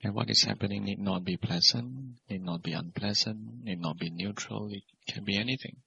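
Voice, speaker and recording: A man teaching guided meditation as a Buddhist monk, speaking in measured, simple phrases and gentle questions. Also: on the low side, vocal fry, a little hiss or hum. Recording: dull, lo-fi recording.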